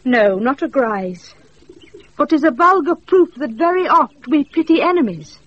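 A woman's voice: high-pitched, lively intonation, a little slow. An actress playing an earnest young woman disguised as a youth, formal, in a classical Shakespearean radio style. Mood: courteous, firm. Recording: muffled off-air radio recording.

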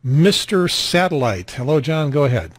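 An American man in his sixties hosting a radio net in casual chat, rambling and halting, on a close mic in a small home room. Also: fairly high pitch, sing-song, husky, no echo. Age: 60s. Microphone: close boom studio mic, headset on.

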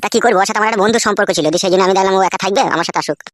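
Irritable two-timing village husband, comedy cartoon. Raised thin pitch, rapid crude bluster, rural West Bengal accent. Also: male voice, fairly even intonation, a bit bright. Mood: defensive, irritated. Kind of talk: comedy cartoon dub, quarrelling.

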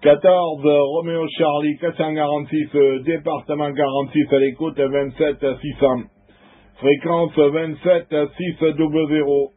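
A man with a fairly high voice talks as a CB radio operator. He is chatty and friendly, making informal contact. The sound is thin and tinny, like a narrow radio band. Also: little pitch movement, a little slow.

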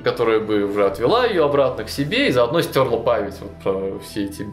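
Young man, storyteller, lively talk, roomy studio, faint background music. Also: medium pitch, fast. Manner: animated, many fillers like "вот" and "ну". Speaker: storyteller guest. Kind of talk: casual storytelling chat. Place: studio with chalkboard wall.

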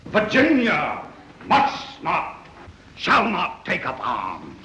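An actor playing a stern, cautious colonial delegate, orating emphatically in 1930s film style. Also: male voice, on the high side, wildly swooping pitch, very slow, full character voice. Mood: emphatic. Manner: emphatic, declamatory. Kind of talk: 1930s film acting, political oratory. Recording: noisy, echoey 1930s film soundtrack.

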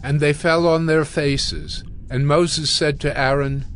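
A man narrating scripture from a script for an audio drama, measured and solemn. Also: on the high side, fairly even intonation. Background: music.

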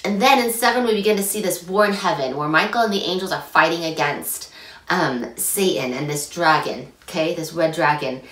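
Hoarse young woman teaching scripture. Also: fairly low pitch, moderate pace. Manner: chatty and animated, gesturing a lot. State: hoarse after illness. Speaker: scripture study host. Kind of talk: casual scripture lesson to camera. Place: small home room. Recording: echoey room, mic at a distance.

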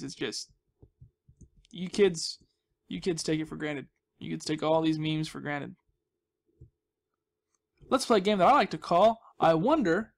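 A man's voice: on the high side, gravelly. A young man giving casual, rambling commentary as he hosts a gaming video, with a goofy, self-deprecating, mock-dramatic manner.

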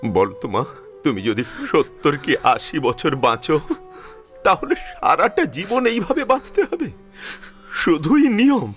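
Man acting slow, impassioned elderly father-in-law. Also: fairly high pitch, sing-song, faint music behind. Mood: tender resolve. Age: older. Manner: slow, pleading, repeating phrases with emotional pauses. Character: tender, grieving elderly father-in-law, resolute and protective. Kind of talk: emotional audio drama acting.

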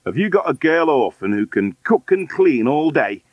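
A man's voice: a little high, sing-song, normal speed, no echo, dull audio, voice pushed up. A man voicing a lazy, scheming adoptive father in a children's storybook, wheedling and mock-polite. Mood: demanding, scheming. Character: sly, lazy, falsely sweet adoptive father.

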